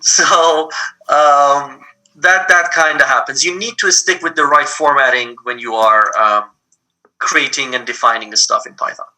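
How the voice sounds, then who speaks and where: A man from Iran with a Persian accent, teaching an online programming lab and explaining things patiently with frequent pauses. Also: mid-range voice, bright, forceful tone, rough recording. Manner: patient, explains step by step with many ums. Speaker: lab instructor. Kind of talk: live online coding lab.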